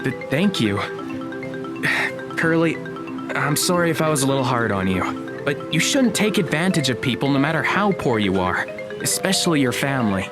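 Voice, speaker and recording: A woman's voice: rumbling low, sing-song, normal speed, music in the background. A woman playing a sceptical, responsible teenage boy with a reluctant, chiding manner in an audio drama. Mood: apologetic, chiding.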